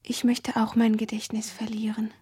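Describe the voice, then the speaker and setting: A woman's voice: fairly high pitch, monotone, normal speed, dark tone, breathy edge, no room sound, clean studio sound. An actress playing a dreamy, restless young girl in a radio play, wistful and pleading, often slipping into whispers. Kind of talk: 1970s German radio play acting.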